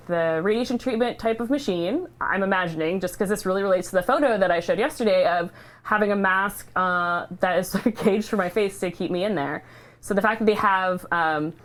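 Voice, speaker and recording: A woman speaking casually and earnestly, in halting, unscripted phrases, as a livestream host sharing a personal story. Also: medium pitch. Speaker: charity livestream host sharing her cancer treatment story. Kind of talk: casual, heartfelt unscripted talk.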